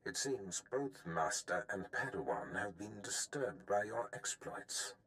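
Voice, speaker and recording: An actor playing a scheming, imperious older Sith master in a video game, haughty, theatrical and deliberate. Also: male voice, on the low side, very animated, fairly bright tone. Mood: smug.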